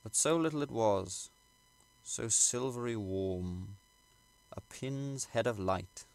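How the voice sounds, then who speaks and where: Male audiobook narrator, lowish gravelly voice, measured formal delivery of classic literary prose. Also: a little mellow. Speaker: audiobook reader.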